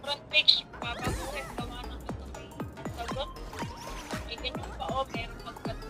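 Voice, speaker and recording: A woman's voice: on the high side, steady tone, moderate pace, over loud music. A woman in casual, offhand conversation. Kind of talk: casual chat.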